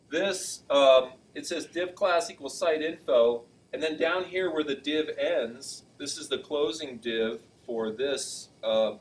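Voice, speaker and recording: A man teaching a class, talking casually in a loud, projecting voice and often checking in with students while he walks around the classroom. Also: medium pitch. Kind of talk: classroom lesson, walking around.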